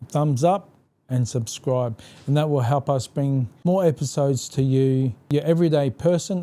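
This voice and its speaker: A man's voice: medium pitch, mellow. A man speaking as a podcast co-host, introducing the show and chatting conversationally with his guest.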